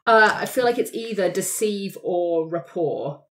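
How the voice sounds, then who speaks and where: Woman, tabletop player, slightly low pitch, casual improvised game chat. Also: lively intonation, unhurried, a bit bright.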